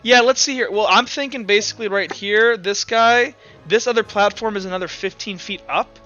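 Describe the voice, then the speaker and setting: A man's voice: sits high, normal speed, pushed and bright, highs cut off, faint music behind. A man acting as game master in a casual, informal tabletop roleplay session over voice chat. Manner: informal, swearing. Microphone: online voice call.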